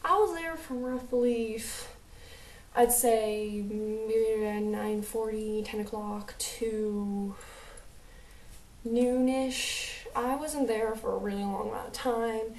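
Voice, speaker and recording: Young American woman vlogger, hybrid accent, chatty and rambling, mellow higher voice, roomy echo, a bit distant from the mic. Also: steady tone, slow. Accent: mixed American, New Jersey with a light Southern drawl. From United States. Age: young adult. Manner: chatty, rambling, many fillers and asides. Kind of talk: casual storytelling vlog.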